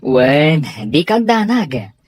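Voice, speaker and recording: Husky, reassuring young gentleman played by a man. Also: fairly high pitch, lively intonation, slow, no room sound. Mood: gentle, coaxing. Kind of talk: Ilocano radio drama acting.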